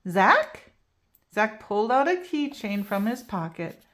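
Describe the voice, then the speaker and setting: A woman reading a picture book aloud for preschool children, slow and expressive. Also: medium pitch, a little hiss or hum.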